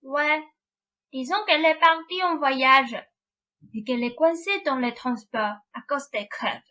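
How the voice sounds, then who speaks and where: A woman's voice: high voice, a little slow, bright, forceful tone. A woman playing a naive, sulky young prince in a student French radio play. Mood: guarded, weary.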